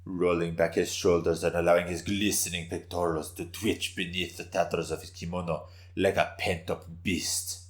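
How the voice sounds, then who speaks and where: A man's voice: low voice, level tone, normal speed, few pauses. A man narrating a scripted story in a dramatic reading style.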